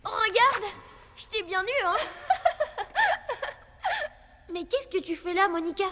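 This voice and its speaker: A woman voicing a cheeky, excitable young cartoon boy with a teasing, slangy way of talking. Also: very high voice, very slow, very noisy, heavily acted. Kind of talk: dubbed cartoon acting.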